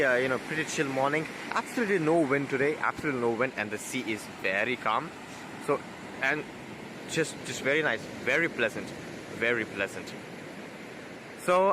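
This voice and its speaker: A hoarse man with a raspy voice, chatting casually as a travel vlogger while driving and walking on a beach, rambling with fillers and correcting himself. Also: mid-range voice, noisy background. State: hoarse after a night out. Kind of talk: casual vlog tour narration. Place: driving a car, then outdoors on a beach.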